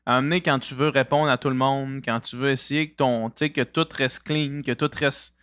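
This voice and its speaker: Bright-voiced Québécois man, hesitant host. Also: mid-range voice, steady tone, fairly quick, clear, resonant tone, no room sound. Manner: hesitant, many fillers and restarts. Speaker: podcast host. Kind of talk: casual interview.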